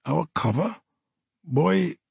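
A man's voice: fairly high pitch, lively intonation, very slow, vocal fry, slightly rough, no echo, voice pushed up, exaggerated voice. A man voicing an embittered, drunk one-handed soldier who talks in a formal, officious way and growls. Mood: mocking. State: drunk and unsteady.

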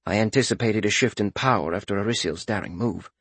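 A male narrator voicing Rezkin, a detached young king who speaks in a calm, dry way. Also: fairly low pitch, fast talker, creaky, breathy edge, no room sound, voice pushed down, heavily acted. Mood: deadpan.

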